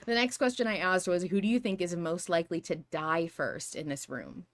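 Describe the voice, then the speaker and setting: A woman with a slightly low voice speaks as a podcast co-host. She talks casually, like a fan speculating, and works through each possibility aloud in long, wandering sentences. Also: moderate pace. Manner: rambling, reasoning aloud through hypotheticals.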